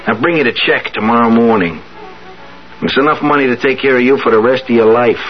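An actor playing a brash, pushy young streetwise suitor in a 1970s radio drama, blunt and slangy. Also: male voice, medium pitch, fairly quick, lightly acted. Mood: pushy, bargaining. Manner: blunt, insistent, slangy working-class talk. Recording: dull lo-fi old radio, music behind.

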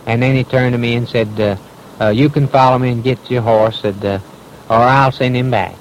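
A man's voice: medium pitch, fairly even intonation. Folksy East Tennessee man, noisy old recording. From Tennessee, United States. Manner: halting, folksy storytelling. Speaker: eyewitness. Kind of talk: unscripted personal recollection.

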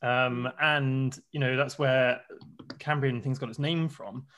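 A podcast host chatting casually, quick to laugh and stopping to explain things for listeners. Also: medium pitch, steady tone, a bit bright. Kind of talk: casual chat.

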